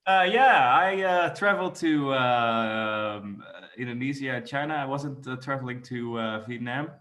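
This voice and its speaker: A man's voice: mid-range voice, a little slow, pushed and bright. A man of about thirty with a Dutch accent, a native Dutch speaker, chatting casually as a podcast guest. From Netherlands. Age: 30s. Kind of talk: casual chat.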